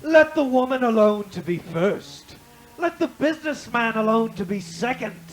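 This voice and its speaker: An actor playing a sly, grumbling scavenger in a radio play, talking in folksy, wheedling phrases. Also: male voice, very high voice, expressive, moderate pace, low-quality recording. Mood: brazen, gleeful. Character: sly, grumbling scavenger haggling over a dead man's belongings.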